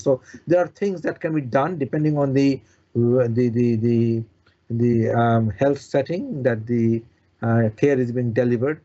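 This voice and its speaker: Mellow-voiced man explaining, halting, dull audio. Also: mid-range voice. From Bangladesh. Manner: halting, repeats words and restarts often. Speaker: consultant bariatric surgeon, interview guest. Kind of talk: explanatory interview talk.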